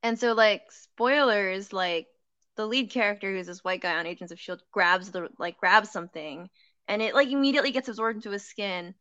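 A woman's voice: medium pitch, fairly bright tone, no room sound. A young woman recapping TV plots in frank, casual talk full of "like" fillers. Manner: quick, frank storytelling with "like" fillers. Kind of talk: casual chat about TV shows. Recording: muffled lo-fi, dry.

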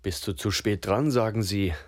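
Actor as radio drama narrator, grim and terse, very low voice, dry and close. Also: male voice, moderate pace, dry recording, lightly acted. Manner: terse, matter-of-fact.

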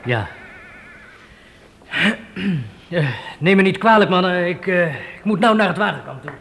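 An actor playing a weary, downcast pioneer settler in a radio play, halting and full of doubt. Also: male voice, high-pitched, lively intonation, a bit bright. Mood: grieving. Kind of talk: Dutch radio play acting. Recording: noisy old radio play recording.

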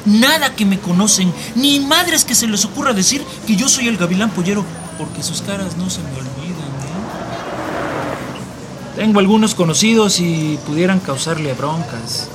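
An actor in a radio drama playing a hard-nosed, menacing Mexican migrant smuggler, talking bossy street slang in a northern Mexican accent. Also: male voice, high voice, expressive, moderate pace, noisy background. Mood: threatening.

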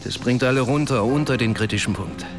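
A man's voice: medium pitch, brisk, natural voice. An actor playing a composed, smug man in charge, speaking with controlled condescension in a radio drama. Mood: calm, assured. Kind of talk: German radio drama acting. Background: music.

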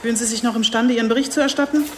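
A woman's voice: fairly high pitch, steady tone, very fast, close to an everyday voice. Actress as brusque female chief inspector. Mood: impatient. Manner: curt, questioning, authoritative. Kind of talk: radio drama dialogue. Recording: faint location-style ambient noise.

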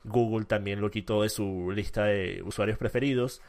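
A man's voice: on the low side, fairly even intonation, normal speed, a little mellow. A man from Venezuela hosting a debate, arguing assertively and emphatically. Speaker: debate host. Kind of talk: casual debate.

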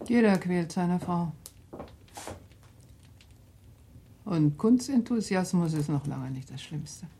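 An actress playing a dry, sharp-tongued middle-aged wife and mother in a radio drama, cool and clipped in manner. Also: lowish voice, lively intonation, unhurried, gravelly, slight background noise. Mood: cool, stung. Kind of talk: German radio drama acting.

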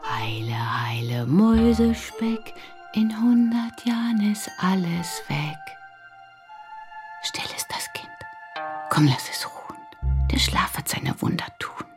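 A soothing grown woman in a radio drama, reciting singsong rhymes to a child. The pace is slow and deliberate, with faint music behind the voice. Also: mid-range voice, lively intonation. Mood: hushed. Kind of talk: radio play acting.

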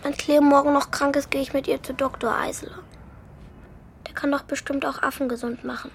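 A woman's voice: high-pitched, flat delivery, fairly quick, slight background noise. An actress playing an anxious, tender young girl in a radio play, with a coaxing, earnest, childlike manner. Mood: sad, sleepy. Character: anxious, tender young girl devoted to her pet monkey.

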